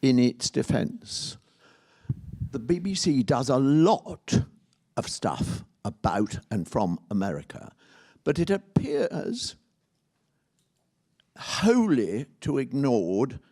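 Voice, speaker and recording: A man's voice: medium pitch, wildly swooping pitch, takes it slowly, long pauses, warm tone. A man in a conference audience asking pointed, insistent questions. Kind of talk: public Q&A question.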